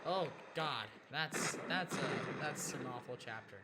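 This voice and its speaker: A young man with a Mexican Spanish accent talks his way through a game livestream, often restarting words. His voice sits a bit high. Loud noise hums under the whole recording. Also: lively intonation. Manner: halting, self-correcting, chatty. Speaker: streamer. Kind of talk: gameplay livestream commentary.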